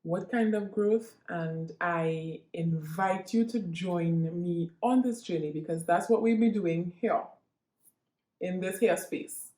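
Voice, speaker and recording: A woman's voice: lowish voice, slow, mellow. A Trinidadian woman vlogging to her viewers in an earnest, reflective monologue, often restarting and repeating herself as she gathers her thoughts. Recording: echoey room.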